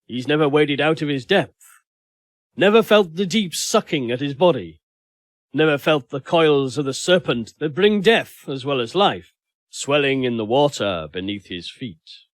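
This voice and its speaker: A man reading a literary short story aloud as an audiobook narrator, in a measured, expressive storytelling manner. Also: a little high, no room sound.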